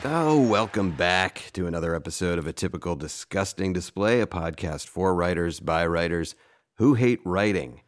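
A man's voice: sits low, expressive, moderate pace. A man co-hosting a comedy podcast, rambling and laughing often, stuffy with a head cold. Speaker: podcast co-host. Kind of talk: casual comedic banter.

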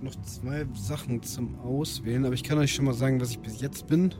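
Guy with mid-pitched voice, casual game chat, bit hesitant, music underneath. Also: fairly even intonation, fairly quick. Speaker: tabletop role-play podcast player. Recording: prominent music bed underneath. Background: background music.

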